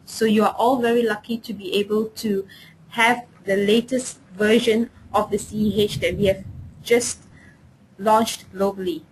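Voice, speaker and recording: A woman with a medium-pitched voice hosts a webinar and gives a formal, prepared introduction. There is faint noise with a low rumble behind her. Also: fairly even intonation, a little slow. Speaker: webinar host introducing the trainer. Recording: noisy line with low rumble.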